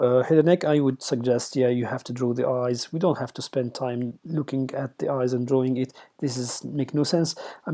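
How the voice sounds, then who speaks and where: Hesitant man lecturing, mellow voice. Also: mid-range voice. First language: Arabic. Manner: hesitant, many fillers and restarts. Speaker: radiation oncology lecturer. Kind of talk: informal teaching lecture.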